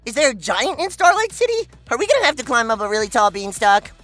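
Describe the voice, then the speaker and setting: A woman voicing a silly, naive, excitable childlike sidekick with a goofy, exaggerated manner in a children's audio comedy. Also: fairly high pitch, quick pace, faint music behind. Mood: excited, puzzled.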